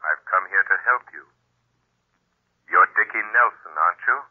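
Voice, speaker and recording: An actor playing a calm, reassuring, mysterious invisible crime fighter with a husky voice in old-time radio drama. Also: male voice, deep voice, moderate pace. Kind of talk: 1940s radio acting. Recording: muffled telephone-like old radio recording.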